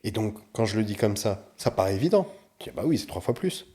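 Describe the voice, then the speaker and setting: A man with a low, mellow voice talks as a guest on a casual podcast. He is animated and walks through his points with concrete examples, and his tone is warm and unhurried. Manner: animated, explains things with examples.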